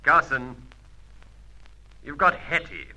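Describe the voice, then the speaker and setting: A sharp, menacing rival spy. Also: male voice, medium pitch, big pitch swings, slow, highs cut off, heavily acted. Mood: cool, probing. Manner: coolly threatening, clipped. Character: smooth menacing rival agent, cool and taunting. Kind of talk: old-fashioned radio spy serial acting.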